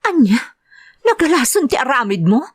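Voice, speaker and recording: A woman's voice: high voice, big pitch swings, normal speed, husky, exaggerated voice. A woman with an Ilocano Filipino accent playing a defiant, distressed captive in an audio drama. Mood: pained, upset. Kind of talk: amateur radio-style drama acting.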